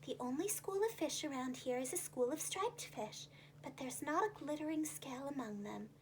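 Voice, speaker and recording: Woman as female scallop, storybook, calm, measured, self-assured, helpful, expressive pitch. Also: a little hiss or hum, low-quality recording.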